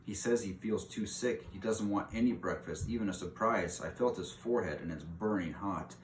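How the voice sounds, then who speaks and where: A man's voice: deep voice, moderate pace, lightly acted. A man voicing a confident, eager young boy mouse in a storybook reading. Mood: concerned. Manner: eager, authoritative. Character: strong, quick young boy mouse, eldest brother, confident. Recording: roomy echo, clear background hiss.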